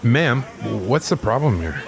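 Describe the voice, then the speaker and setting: A man's voice: medium pitch, very animated, a little slow, over music. A man acting the part of a terse, urgent, dutiful policeman in an audio drama. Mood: alarmed. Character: gruff, tense, dutiful New York policeman. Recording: street noise and crowd behind voice. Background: busy city street, crowd.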